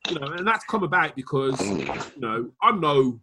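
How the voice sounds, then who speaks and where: A man's voice: on the high side, big pitch swings, normal speed, background noise. A man with a Welsh accent talking business in a casual video call, chatty, laughing often and dropping punchy asides. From Wales. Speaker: marketing consultant.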